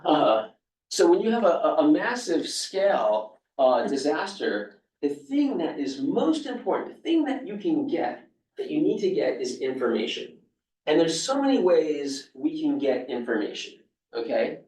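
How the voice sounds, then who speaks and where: A man's voice: on the high side, very animated, unhurried, darkish tone. New York man lecturing in an echoey room. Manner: loose and conversational, with many fillers and restarts. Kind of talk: academic seminar talk. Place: seminar room. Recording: echoey room, speaker far from the mic.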